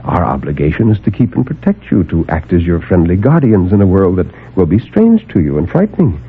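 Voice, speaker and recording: An actor playing a calm, superior superhuman guardian, speaking with measured, lofty condescension in a 1950s radio drama. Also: male voice, low-pitched, breathy edge, exaggerated voice. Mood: calm, condescending. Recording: narrowband old AM radio recording.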